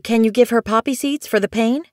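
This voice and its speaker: A woman's voice: a little high, fairly quick, dry recording, clean studio sound, voice pushed up, lightly acted. A woman voicing a caring, anxious young female cat in an audiobook, an earnest and soothing sister. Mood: urgent.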